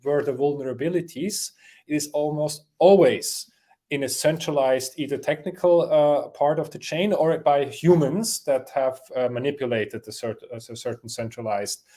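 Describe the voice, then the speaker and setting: A man from Switzerland answering interview questions, pausing with many fillers and restarts. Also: on the high side, mellow. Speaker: interview guest.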